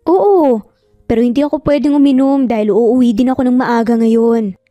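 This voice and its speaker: A woman acts out a reserved young female office employee in an audio story. Her voice is soft-toned and fairly high, polite yet firm, and formally restrained. Gentle music sits behind her. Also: moderate pace, dry recording, natural voice. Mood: defensive. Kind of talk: Tagalog audio story dialogue.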